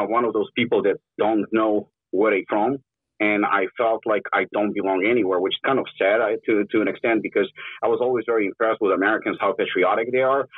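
A man's voice: fairly low pitch, slightly rough, no echo. A man in his forties from Ukraine with a mixed, Russian-tinged accent, talking candidly and bluntly as a podcast guest, swearing freely. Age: 40s. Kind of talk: casual podcast interview. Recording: tinny phone-like line.

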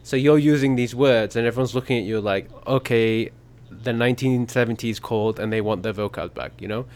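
A man's voice: medium pitch, a little fast, darkish tone. A man from the UK, chatting thoughtfully and easily on a podcast. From United Kingdom. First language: English. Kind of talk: casual podcast chat.

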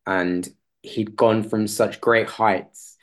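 A man speaking as an interview guest in a conversational way, hesitant and halting, often rephrasing as he goes. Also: on the low side, steady tone.

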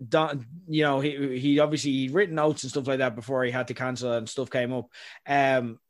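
A young adult man, hosting a podcast and giving rambling, opinionated takes. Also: fairly high pitch. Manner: rambling, opinionated, frequent fillers. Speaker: podcast host. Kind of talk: casual chat.

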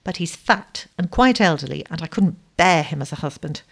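A woman's voice: deep voice, expressive, moderate pace. A woman voicing a spirited, rebellious young woman in a story, frank and insistent. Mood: rebellious confiding.

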